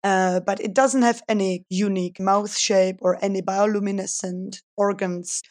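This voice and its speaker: A woman from the Republic, a research scientist giving thoughtful interview answers with frequent pauses. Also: medium pitch, steady tone, a little mellow, no echo, clean studio sound. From Czech Republic. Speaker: guest scientist.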